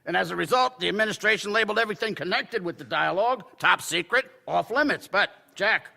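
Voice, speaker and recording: An actor playing a blunt newspaper editor in a radio drama. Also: male voice, high-pitched, expressive, fast talker, gravelly, sharp tone, in a large hall, full character voice. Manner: blunt and forthright.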